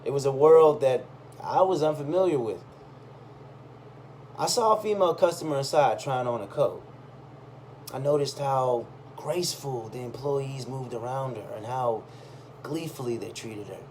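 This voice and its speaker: A man reading a first-person essay aloud in an expressive, conversational storytelling way, with dramatic pauses and emphasis. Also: medium pitch, a little hiss or hum.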